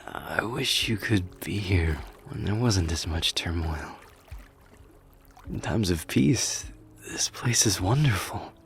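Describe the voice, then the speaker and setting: A man playing a brooding, loyal former general of a beast folk in a pensive ASMR roleplay monologue, often dropping to a breathy murmur. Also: low-pitched. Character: brooding male wolf warrior, former general, troubled and loyal.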